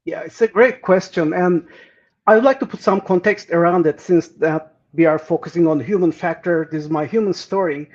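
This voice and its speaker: A man's voice: high voice, brisk, a little mellow, dull audio. A man, answering interview questions with many fillers and restarts. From Turkey. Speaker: guest.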